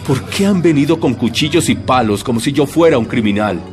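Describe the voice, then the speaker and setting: A man voices a calm, authoritative holy teacher in an audio drama. He speaks in a measured, solemn medium register, with music clearly playing behind him. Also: medium pitch, close to an everyday voice. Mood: resigned, firm. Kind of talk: dramatized audio Bible acting.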